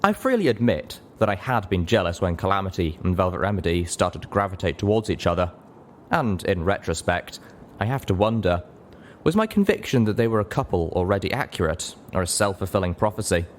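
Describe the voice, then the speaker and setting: Guy with a low voice reading an audiobook story, slow deliberate phrasing, bit of noise behind him. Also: sing-song. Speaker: narrator, reader of a fan fiction audiobook. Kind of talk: scripted narration, dramatic storytelling.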